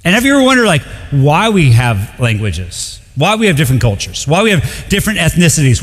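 A man from Colombia preaching to a congregation, joking and animated as he leads into the text. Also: fairly high pitch. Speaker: pastor. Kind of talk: sermon. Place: church sanctuary. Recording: long hall reverb.

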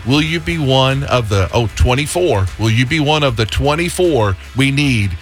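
A man's voice: medium pitch, lively intonation, normal speed, faint music behind. Upbeat man rattling off donor names. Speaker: radio host. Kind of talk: fundraising appeal.

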